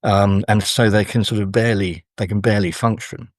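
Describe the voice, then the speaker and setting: A man with a fairly low voice talks as an academic guest in an interview. He explains his ideas thoughtfully, often halting mid-sentence to find the next phrase. The sound is close and dry. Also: steady tone, dry recording. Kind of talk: interview discussion, scholarly explanation.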